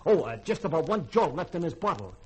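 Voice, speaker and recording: A man's voice: fairly high pitch, big pitch swings, quick pace, highs cut off, slight background noise. An actor playing a folksy, wheedling male ship's cook who likes his drink, in a 1950s radio drama. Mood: sly, wheedling. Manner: folksy, hesitant, blustery.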